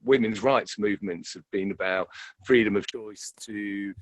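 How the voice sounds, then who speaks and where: Low-voiced man thinking aloud. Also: a little slow, no echo. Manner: hesitant, repeats words while thinking aloud. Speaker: interviewed actor. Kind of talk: reflective interview conversation.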